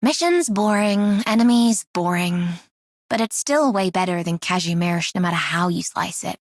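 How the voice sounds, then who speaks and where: Actress as a jaded game archer lady, lowish voice, blunt and bored, dry recording. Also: normal speed, no echo, lightly acted. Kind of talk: scripted game character voice lines.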